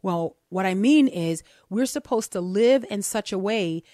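A woman's voice: mid-range voice, a little slow, dry recording. Playful woman radio host in a dry studio. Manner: animated, playful, joking banter.

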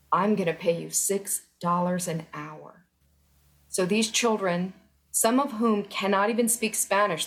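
A middle-aged woman speaking as an interview guest, earnest and urgent, pressing her points with emphasis. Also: mid-range voice. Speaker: interview guest, former federal employee. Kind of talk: remote news interview.

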